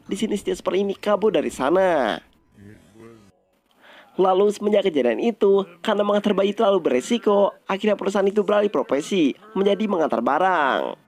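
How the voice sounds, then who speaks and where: High-pitched man recapping a film. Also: darkish tone. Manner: colloquial, addresses viewers directly. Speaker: movie recap host. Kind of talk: casual scripted narration retelling a film.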